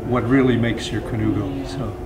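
A man in his sixties with a mid-pitched voice talks casually and with firm opinions. The room echoes and is loud with workshop noise. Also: fairly even intonation, normal speed. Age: 60s. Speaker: workshop student. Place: dim wooden workshop indoors.

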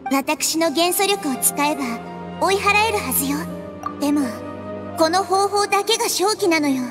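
Game role: childlike sage deity, high, calm, thoughtful delivery over clear background music. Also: female voice, moderate pace. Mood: gentle, thoughtful. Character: small, childlike goddess, wise, gentle, composed. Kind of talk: video game character acting.

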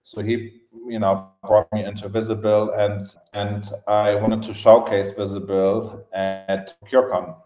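A man with a German accent telling his story casually as a podcast guest, in halting phrases with repeated words. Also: fairly low pitch, little pitch movement, mellow, faint music behind. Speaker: podcast guest, events entrepreneur. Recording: roomy, echoing podcast audio.

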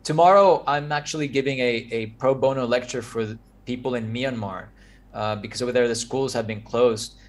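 A man's voice: medium pitch, brisk. A man in his thirties from Mexico, Spanish his first language, giving earnest advice as a guest on a video call from his home office. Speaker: guest architect. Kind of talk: casual video-call chat. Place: home office with framed pictures.

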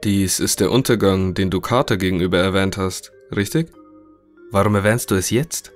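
A man's voice: low voice, normal speed, soft background music, natural voice. A man playing a courteous, inquisitive scientist conducting an interview in an audio drama, matter-of-fact. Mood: probing.

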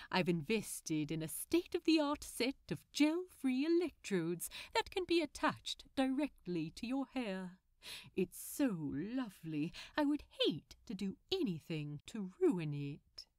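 Give the villain of an audio story as a woman reader plays him: a smug, theatrical man with a coaxing, tender streak. The delivery is dramatic and unhurried, with sweeping pitch. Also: sing-song, a little mellow, no room sound, clean studio sound. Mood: tender, soothing. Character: gleefully theatrical, silky-soft male supervillain, tender and smug.

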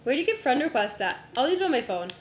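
A young woman streamer chatting casually with friends while setting up a game, her voice going hoarse from a long day of talking. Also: sits high, sing-song, very fast, echoey room, slight background noise. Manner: distracted, talks to herself while navigating menus.

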